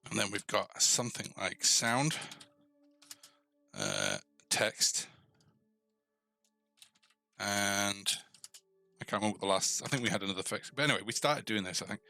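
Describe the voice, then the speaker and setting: A man hosting a casual live coding tutorial, thinking aloud informally and tentatively, often pausing mid-thought as he works. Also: fairly low pitch, vocal fry, sharp tone. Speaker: host of a coding tutorial stream.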